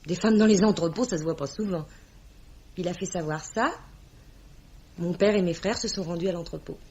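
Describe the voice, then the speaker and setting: Plucky young irish girl in a vintage radio drama, dry and unfazed, bit raspy, dull sound. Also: fairly low pitch, normal speed, a little mellow. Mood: wry, composed. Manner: wry, composed. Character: spirited, cool-headed young Irish woman, teasing and matter-of-fact. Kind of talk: 1950s French radio drama acting. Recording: dull, band-limited old radio recording.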